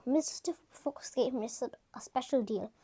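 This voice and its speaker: A woman's voice: high-pitched, measured pace, mellow, slightly rough, exaggerated voice. A woman reading the part of a grandfather pig in a storybook, chatty and pleased. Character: proud bargain-loving grandfather pig.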